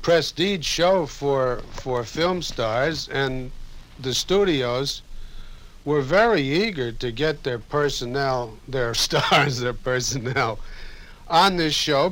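A man reminiscing in an interview about radio work, measured, with pauses and a dry wit. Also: mid-range voice, fairly bright tone.

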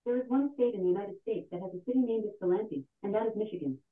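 Fast synthetic female assistant, distant. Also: mid-range voice. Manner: even, polite, synthetic delivery. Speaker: smart speaker voice assistant. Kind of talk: scripted factual answers. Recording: distant, phone-like smart speaker playback.

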